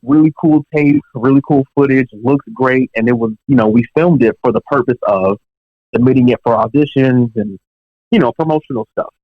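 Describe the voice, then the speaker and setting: A young man of mid-range pitch tells anecdotes from his music career in a loose, conversational way. He wanders through asides and fillers and chuckles now and then. The audio is dry and a little dull, like a home podcast setup. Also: normal speed, dry recording. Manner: relaxed, chatty, many fillers and asides, chuckles while telling stories. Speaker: professional touring drummer, podcast guest. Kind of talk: casual storytelling in an interview.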